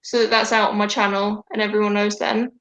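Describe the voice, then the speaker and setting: A woman's voice: medium pitch, flat delivery, brisk, fairly bright tone, echoey room, highs cut off, a little hiss or hum. A young woman hosting a casual video call chat, talking at length with many fillers and restarts. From Denmark. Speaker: host.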